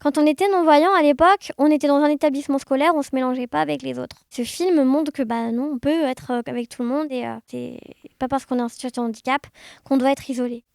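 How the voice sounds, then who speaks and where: A woman's voice: high-pitched, fairly even intonation, fast talker, vocal fry, darkish tone, no echo, clean studio sound. A woman chatting casually and expressively as an enthusiastic podcast guest. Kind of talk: casual conversation.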